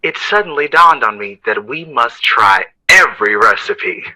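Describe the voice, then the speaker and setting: A theatrical, witty jazz composer of the 1960s in an audio drama. His voice is strikingly bright and forward, with lively melodic swings, and he phrases everything in a flowery, playful, arch way. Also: mid-range voice, unhurried, poor audio. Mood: playful, conspiratorial. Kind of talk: period audio drama dialogue.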